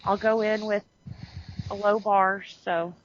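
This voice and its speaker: A woman's voice: medium pitch, flat delivery, slow, dull audio, a little hiss or hum. A woman co-hosting a podcast in casual chat, rambling and correcting herself as she goes, laughing often. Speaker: podcast co-host.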